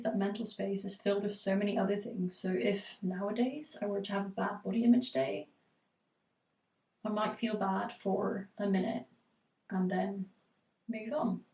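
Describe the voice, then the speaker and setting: Roomy, flat-voiced woman coach with a cold. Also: mid-range voice, creaky, recorded from a distance. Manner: reflective, steady advice with frequent fillers. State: has a cold, voice going hoarse. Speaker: eating disorder recovery coach. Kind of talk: live group coaching Q&A.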